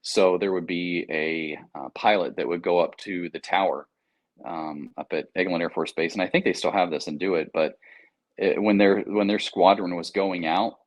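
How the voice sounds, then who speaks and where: A man's voice: low-pitched, fairly even intonation, moderate pace. An American man talking casually in an interview, explaining things in an informal, anecdotal, slangy way. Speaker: air traffic controller, interview guest.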